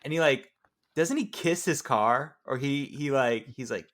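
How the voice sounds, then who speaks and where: A man's voice: mid-range voice, unhurried, fairly bright tone. A man in a casual film discussion, joking and riffing on scenes.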